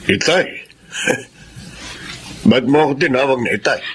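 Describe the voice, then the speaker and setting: A man's voice: medium pitch, expressive, slow pace, fairly bright tone. A man with a Filipino accent acting a cold, dismissive middle-aged father in a radio drama. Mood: cold, irritated. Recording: faint noise behind voice.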